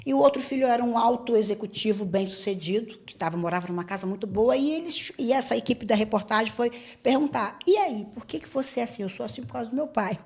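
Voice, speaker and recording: A woman's voice: fairly high pitch, fast talker, hardly any pauses. A woman hosting a podcast interview who asks personal questions and answers with anecdotes of her own. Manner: warmly engaged, tells anecdotes. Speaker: podcast host. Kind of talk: interview conversation.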